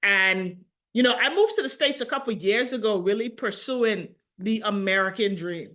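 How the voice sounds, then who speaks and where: A woman with a Bahamian accent, giving an energetic, persuasive sales pitch on a video call and asking her audience direct questions. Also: moderate pace. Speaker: sales presenter.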